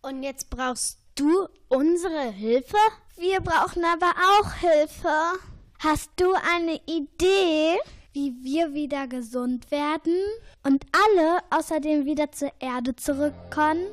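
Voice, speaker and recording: A woman's voice: high voice, takes it slowly, dry recording, studio quality. A woman playing a polite, simple, sick animal in a children's radio play, speaking plainly and carefully as if reciting. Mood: earnest.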